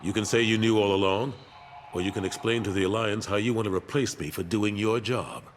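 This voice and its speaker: Low-voiced, weary older military statesman played by a man. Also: a little fast, a little hiss or hum, lightly acted. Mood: frank. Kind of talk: video game voice acting.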